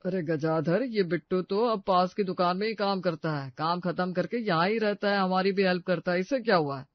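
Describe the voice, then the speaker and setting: A man's voice: sits high, fairly quick, no room sound, muffled recording, natural voice. A man playing a fretful, earnest older uncle in a comic family story. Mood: sheepish, explaining.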